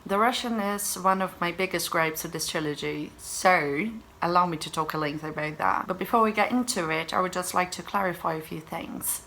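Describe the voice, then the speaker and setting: A woman whose first language is Portuguese talks to camera in a casual book-review vlog. Her voice sits somewhat low, and she leans hard on her points, sounding exasperated and emphatic. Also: bright, forceful tone.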